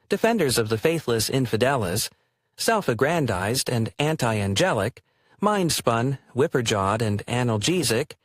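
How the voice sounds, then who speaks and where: A man's voice: medium pitch, no echo, clean studio sound. A man performing theatrical spoken-word poetry in a wry, declamatory way.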